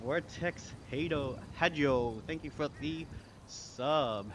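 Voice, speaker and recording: A man's voice: fairly high pitch, takes it slowly, a bit bright, a little hiss or hum. A man with an Australian accent chatting casually as a live streamer, slangy, reading chat aloud and thanking viewers. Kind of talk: casual livestream chat over gaming.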